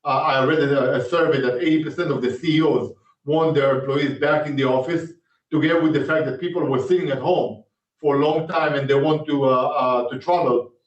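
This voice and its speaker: A man with an Israeli accent, an executive in an investor interview, speaking haltingly with many fillers. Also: a little high, steady tone. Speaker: company executive. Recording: distant roomy muffled call audio.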